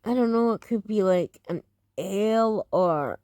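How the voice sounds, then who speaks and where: A woman's voice: mid-range voice, lively intonation, takes it slowly, warm tone, no room sound, studio quality. A woman with an Edinburgh Scottish accent, chatting casually while reacting to a video, rambling with lots of fillers. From Scotland. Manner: rambling, many fillers and restarts.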